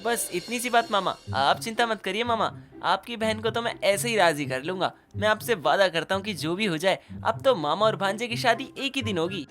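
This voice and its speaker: A woman's voice: mid-range voice, animated, normal speed, few pauses, a bit bright, soft background music, natural voice. A woman voicing a determined, cheeky young man in a cartoon story, earnest and persuasive. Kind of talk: Hindi cartoon story voice acting.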